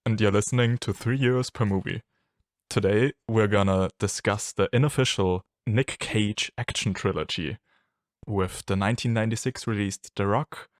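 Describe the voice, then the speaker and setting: A man's voice: lowish voice, dry recording, studio quality. A man from Austria, hosting a casual film podcast and laughing often. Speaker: podcast host.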